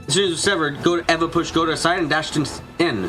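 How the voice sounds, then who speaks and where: A man's voice: on the high side, steady tone, quick pace, sharp tone, rough recording. A man reading dramatic scripted narration for a visual novel fan dub, now and then stumbling over the lines. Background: background music.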